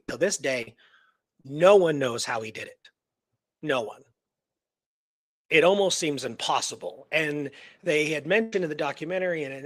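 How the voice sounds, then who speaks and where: A man's voice: fairly high pitch, fairly bright tone, no echo. A man telling a history story in a casual, rambling way, repeating words for emphasis. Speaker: co-host telling a true crime history.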